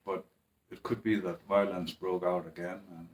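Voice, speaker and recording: A man speaking in a halting, hesitant way, with restarts and long pauses, in a personal monologue. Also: deep voice, little pitch movement, low-quality recording. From Greenland. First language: Danish.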